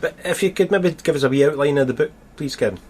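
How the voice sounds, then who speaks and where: A man's voice: fairly high pitch, quick pace, a little mellow. A man with a Scottish accent hosting a casual radio interview in an informal way. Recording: noisy background.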